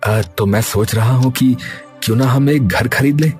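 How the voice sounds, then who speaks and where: A man's voice: mid-range voice, normal speed, close to an everyday voice. A man voicing a kind, eager village jalebi seller in a cartoon story. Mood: earnest. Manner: eager, pleading and hawking loudly. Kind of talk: cartoon voice acting. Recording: faint music behind.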